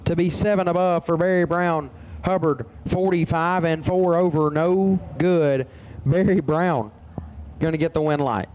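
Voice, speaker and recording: Male race announcer, slightly high-pitched, terse number-heavy commentary, faint vehicle noise behind. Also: measured pace, darkish tone, lo-fi sound. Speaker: drag racing track announcer. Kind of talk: race commentary with clipped results calls.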